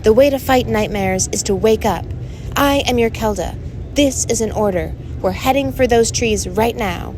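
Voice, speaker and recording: A woman voicing a determined, bossy young girl heroine in a storybook reading, with clear noise in the recording. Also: fairly high pitch, moderate pace, a little mellow, voice pushed up, close to an everyday voice. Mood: commanding.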